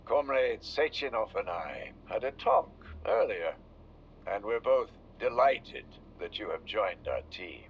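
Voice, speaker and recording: An actor playing a visionary Soviet official in a video game, speaking formally and grandly. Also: male voice, fairly low pitch, very animated, takes it slowly, heavily acted. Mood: formal, proud. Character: visionary Soviet official congratulating a new deputy. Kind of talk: video game recorded-message acting. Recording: muffled audio with faint noise.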